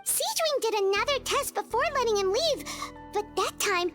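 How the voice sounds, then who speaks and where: Tiny, childlike, very high-pitched creature nurse. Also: female voice, expressive, normal speed, fairly bright tone. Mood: explaining. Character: small cheerful young female creature, an earnest head nurse. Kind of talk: video game voice acting. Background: game music.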